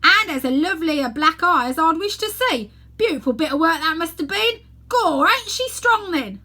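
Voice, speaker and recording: Woman doing cheeky high-pitched street lads. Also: expressive, normal speed, bright, forceful tone, full character voice. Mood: gleeful, loud. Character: cheeky male London street onlookers, loud and gleeful.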